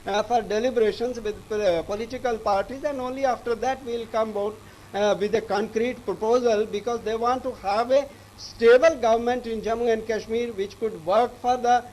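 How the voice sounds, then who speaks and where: A man with an Indian English accent giving a live news report in a halting, repetitive way. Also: extremely high pitch, fairly even intonation, darkish tone, slight background noise, poor audio. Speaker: news correspondent.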